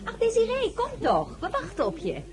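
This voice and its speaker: An actress playing a polite, fussing married elder sister and hostess in a Dutch period radio drama. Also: female voice, extremely high pitch, huge pitch swings, moderate pace, crowd noise, full character voice. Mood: urging.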